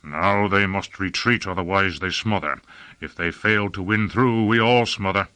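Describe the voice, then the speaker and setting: A man's voice: low-pitched, pushed and bright, no room sound. A man voicing a grave, weary senior sorcerer with heavy sarcasm and resignation in an audiobook fantasy. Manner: heavy sarcasm, slow sighing gravity.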